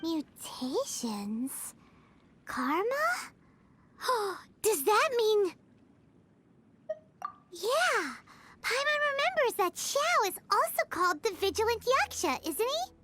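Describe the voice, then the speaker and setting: A woman voicing a small, excitable, childlike fairy companion in an anime video game, cute, eager and exclamatory. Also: very high-pitched, slow pace, pauses often, exaggerated voice. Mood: surprised, sympathetic. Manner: speaks of herself in third person, exclamatory. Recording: music behind the voice.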